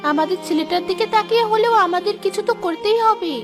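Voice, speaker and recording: A woman's voice: very high voice, quick pace, over music. A woman voicing a poor, devoted, worried young village mother in a cartoon, with a dramatic, exclaiming delivery. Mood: reassuring. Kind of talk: Bengali cartoon voice acting.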